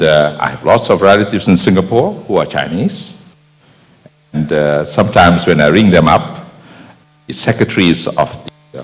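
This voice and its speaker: An older man from Malaysia speaks at a business conference, his deep voice swinging up and down with plenty of life. He wanders through anecdotes, pausing on fillers and restarting his sentences. His voice carries a long reverb from a large convention hall. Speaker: keynote speaker. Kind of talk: conference speech.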